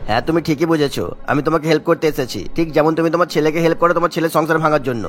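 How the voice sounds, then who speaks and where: A man's voice: fairly high pitch, rapid-fire, fairly bright tone, faint music behind, close to an everyday voice. A man voicing an indignant, protective older father-in-law in a cartoon, emphatic and scolding. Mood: angry, accusing.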